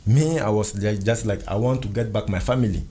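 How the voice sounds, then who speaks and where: A man's voice: lowish voice, a little fast, dark tone, a little hiss or hum. A man whose first language is French, telling his story in English in an earnest way, often repeating and restarting phrases. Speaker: guest. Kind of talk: recounting a personal ordeal in conversation.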